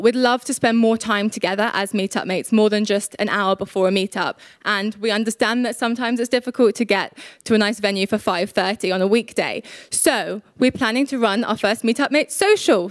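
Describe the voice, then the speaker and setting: A young woman presenting a prepared conference talk on stage, steady and polished. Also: mid-range voice, a little fast, few pauses, no echo. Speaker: conference speaker.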